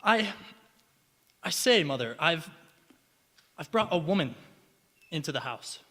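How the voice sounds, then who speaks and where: Guy acting flustered kind college boy, really animated, polite stammery radio drama delivery, reverby hall. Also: fairly high pitch, measured pace, exaggerated voice. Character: earnest, flustered young male student, kind and eager. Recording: echoey hall with long reverb.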